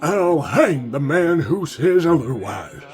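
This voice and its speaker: A man's voice: fairly high pitch, big pitch swings, slow, darkish tone, faint music behind. A man acting a menacing, hanging-happy Texas frontier judge in a theatrical fan comic dub.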